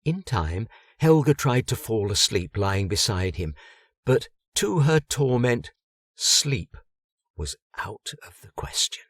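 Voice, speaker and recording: A man reading an audiobook in a measured way with dry humour, close on the mic. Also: medium pitch, sing-song, breathy edge, no echo. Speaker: audiobook narrator. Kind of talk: scripted narration.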